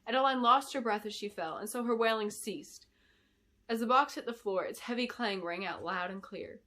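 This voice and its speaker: A woman reads fantasy fiction with a bright, forceful tone. Her storytelling is dramatic and expressive, with deliberate phrasing throughout. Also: on the high side. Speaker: author reading her book. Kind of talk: dramatic scripted fantasy narration.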